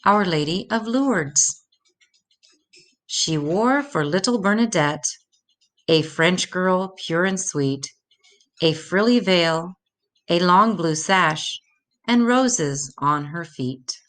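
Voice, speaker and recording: Older lady reading a kids story in rhyme, real slow and careful, voice a bit on the low side. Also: lively intonation, fairly bright tone. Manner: measured, deliberate read-aloud delivery. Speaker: storyteller reading a children's religious picture book aloud. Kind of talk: scripted children's story reading in rhymed verse.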